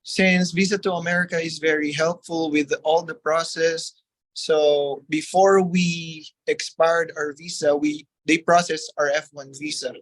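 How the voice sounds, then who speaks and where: A man's voice: fairly high pitch, fairly even intonation, unhurried, fairly bright tone, no room sound, low-quality recording. A man from the Philippines with a Filipino accent and Tagalog as his native language, speaking politely as a guest in a testimonial interview.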